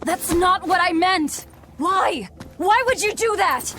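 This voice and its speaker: A woman's voice: very high voice, expressive, takes it slowly, a bit bright, soft background music, exaggerated voice. An actress playing a stern, hardened young demon woman in a video game, speaking in a blunt, cold, dismissive way. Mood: angry, upset. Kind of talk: English video game voice acting.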